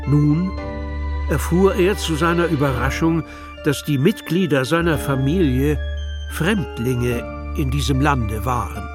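A man's voice: on the high side, measured pace. A man narrating a children's radio play as a scripted story. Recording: music under the voice.

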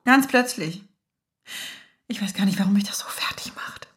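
A woman's voice: mid-range voice, moderate pace, fairly bright tone, in a whisper, natural voice. An actress playing a shaken, upset woman in her thirties in a radio drama. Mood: shaken, grieving. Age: 30s. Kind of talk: naturalistic radio drama acting.

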